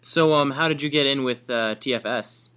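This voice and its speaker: A man's voice: medium pitch, normal speed, a bit bright. A man acting as interviewer, asking questions in a casual, conversational way.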